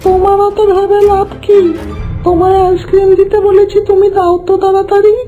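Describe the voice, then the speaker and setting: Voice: extremely high pitch, level tone, brisk. A performer voicing a cheeky, whiny young village boy in a cartoon, with theatrical, exaggerated delivery. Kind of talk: exaggerated Bengali cartoon voice acting. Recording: dull lo-fi sound, faint music.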